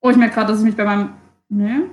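A woman's voice: medium pitch, little pitch movement, fast talker, a little mellow, echoey room. A woman playing a tabletop game, chatting casually and informally, dropping English slang into her speech.

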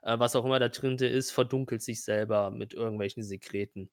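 A man's voice: medium pitch, steady tone, fairly quick, crowd noise. A man narrating an improvised tabletop role-playing game casually as game master, often rephrasing his descriptions as he goes.

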